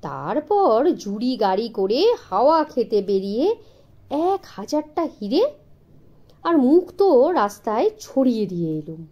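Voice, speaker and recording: A woman's voice: fairly high pitch, sing-song, measured pace, dull audio. A woman voicing a grand, boastful rich man in a children's story, grave and pompous in manner.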